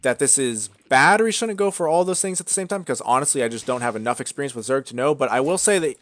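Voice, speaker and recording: A young man casting a strategy game match in a relaxed, chatty way, with frequent fillers and restarts. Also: medium pitch, fast talker. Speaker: esports caster. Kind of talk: casual play-by-play commentary.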